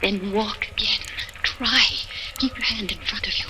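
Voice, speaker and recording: An actress playing the tender imagined voice of a captive's beloved, measured and dreamlike, in vintage radio horror style. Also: female voice, mid-range voice, noisy background, natural voice. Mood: soft, sorrowful. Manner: measured, earnest, dreamlike delivery. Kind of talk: 1940s radio horror acting. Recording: vintage radio recording with background music.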